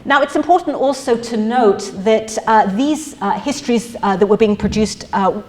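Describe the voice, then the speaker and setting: A middle-aged woman lecturing in a museum auditorium, speaking haltingly with many fillers and restarts. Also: on the high side, a little mellow. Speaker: academic lecturer. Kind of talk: academic lecture.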